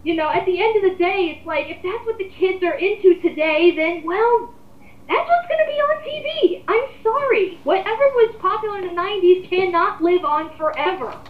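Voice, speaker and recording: Woman, twenties. High-pitched, emphatic, casual opinion host. Dull, reverberant recording. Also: lively intonation, normal speed. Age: 20s. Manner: rambling, blunt, emphatic. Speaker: host leading a group discussion. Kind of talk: casual opinionated commentary chat.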